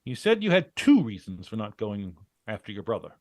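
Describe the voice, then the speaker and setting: Man acting as shrewd, animated radio adventurer. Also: on the low side, no room sound, studio quality. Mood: wry, probing. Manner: brisk, probing, wry questioning. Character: shrewd, businesslike male adventurer leading his partners. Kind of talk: vintage radio drama acting.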